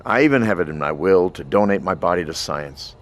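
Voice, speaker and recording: A man's voice: low voice, lively intonation, a little fast. An actor playing a sardonic, bitter male scientist resigned to his fate, in audio drama dialogue. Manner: sardonic, wry, swearing.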